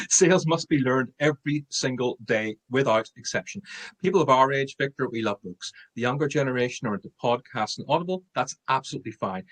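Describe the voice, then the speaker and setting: A man's voice: mid-range voice, a bit bright. A middle-aged man with an Irish accent, a guest on a sales podcast, talking emphatically and repeating his key points. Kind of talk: podcast interview.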